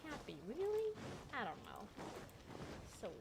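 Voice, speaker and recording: Female gamer, slow-paced casual reactions, lively pitch swings, noticeable game noise behind her. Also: creaky. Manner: informal, slangy. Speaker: streamer playing a video game. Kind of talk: casual gameplay reaction commentary. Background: video game sound.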